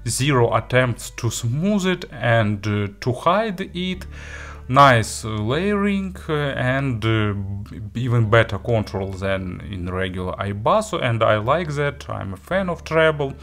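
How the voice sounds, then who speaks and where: A man giving a spoken audio gear review, phrasing things haltingly but with enthusiastic emphasis. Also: medium pitch, expressive, a bit bright.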